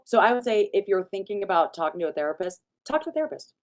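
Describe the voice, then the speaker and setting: A woman's voice: mid-range voice, sing-song, fast. A woman, sounding like a counselor, giving reassuring and emphatic advice on a discussion panel.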